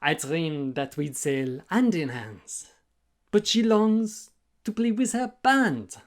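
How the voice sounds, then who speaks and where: A man's voice: on the high side, sing-song, slow. A man voicing a lovelorn, poetic ship's captain from a storybook, courtly and flowery in manner.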